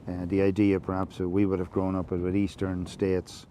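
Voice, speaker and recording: An Irish man giving a measured, reflective monologue, explaining things slowly, with many fillers and restarts. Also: sits low, monotone, dark tone, a little hiss or hum. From Ireland. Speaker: podcast host.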